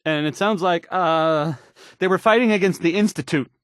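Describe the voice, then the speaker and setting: A man's voice: sits high, no echo, clean studio sound, natural voice. A man playing a stammering, insecure radio DJ. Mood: anxious, rambling. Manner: stammering, hesitant, halting, rambling and unsure. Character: nervous, awkward, insecure young male radio DJ. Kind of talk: fumbled radio news report in a video game.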